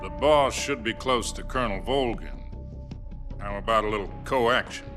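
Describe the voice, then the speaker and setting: A wary American president acted by a man in a tense game cutscene. His voice sits a little low and he questions bluntly, with loud music underneath. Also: normal speed, lightly acted. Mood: wary, challenging. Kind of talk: cinematic video game acting.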